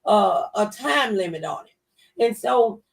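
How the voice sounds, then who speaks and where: A woman's voice: mid-range voice, lively intonation, slow pace, gravelly, recorded from a distance. A mature woman in a faith-based conversation, speaking earnestly and a little like a preacher, repeating phrases for emphasis. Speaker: talk show guest.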